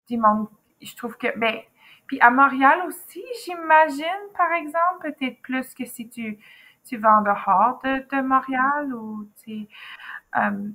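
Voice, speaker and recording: A woman teacher with a softened Québécois accent, chatting casually as an interview guest, full of fillers and restarts. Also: a little high, vocal fry, low-quality recording. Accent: Québécois French, softened. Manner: chatty, many fillers and restarts, trailing off into asides. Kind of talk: casual chat.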